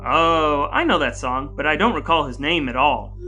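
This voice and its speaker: A man's voice: fairly high pitch, expressive, moderate pace, pushed and bright, soft background music. An American man co-hosting a podcast, moving between scripted intros and casual chat in a playful, wordy, announcer-like way. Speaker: podcast co-host.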